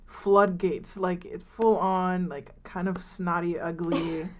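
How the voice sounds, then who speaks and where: A woman's voice: on the low side, slow. A woman in her twenties co-hosting a podcast, chatting casually and rambling through stories with lots of "like" and "um". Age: 20s. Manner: rambling, many likes and ums, animated retellings. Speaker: podcast co-host. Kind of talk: casual chat.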